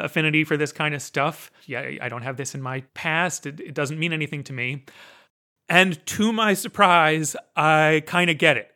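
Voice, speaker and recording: A man's voice: on the high side, pushed and bright. A man co-hosting a film-music podcast, talking in a thoughtful, wry way and chuckling between phrases. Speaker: podcast co-host. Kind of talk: casual conversational film-score podcast chat.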